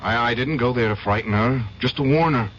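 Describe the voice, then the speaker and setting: An actor in a 1970s radio drama playing a principled, stubborn young lawyer who speaks earnestly and defiantly. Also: male voice, medium pitch, a little fast, fairly bright tone, lightly acted. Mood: uneasy, firm. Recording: dull, noisy 1970s radio broadcast.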